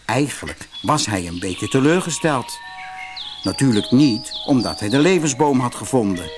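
A man narrating a scripted fairy tale as an expressive audiobook storyteller. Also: medium pitch, normal speed. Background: music.

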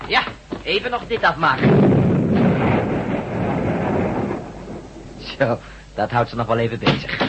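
Actor as fast-talking, unflappable radio operator. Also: male voice, medium pitch, big pitch swings, highs cut off, natural voice. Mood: brisk, determined. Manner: brisk, matter-of-fact. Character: brisk, unflappable male radio operator of resistance team. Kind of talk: Dutch radio drama acting. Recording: noisy radio drama recording.

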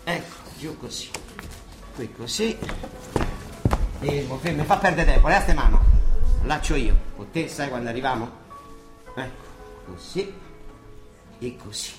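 An actor playing a bustling, grumbling but fond monsignor with a Roman accent, in a theatrical radio drama style. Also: male voice, medium pitch, measured pace, long pauses, close to an everyday voice. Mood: fussing, impatient. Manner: colloquial, chivvying, muttering and exclaiming. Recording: echoey stage-like sound with music behind.

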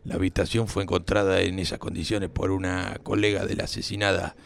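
A deep-voiced policeman, acted by a man in a comic crime radio play. He reports to his inspector in a formal, matter-of-fact, deadpan way. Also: fairly quick, vocal fry.

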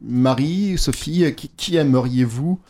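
A male radio host running a comedy interview in a playful, mock-earnest way. His voice is mellow and warm, at a middling pitch. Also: unhurried.

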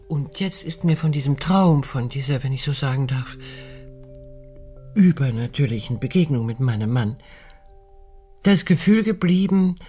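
A woman playing an anxious, dignified widow who leads an expedition in a German radio play, speaking in a hesitant, formal, deliberate way with a husky voice. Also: a bass voice, dark tone. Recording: music under the voice.

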